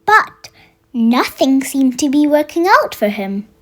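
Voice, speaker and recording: A storyteller reading a children's bedtime picture book aloud in an expressive way, made for young listeners. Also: sits high, slow. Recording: music under the voice. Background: music.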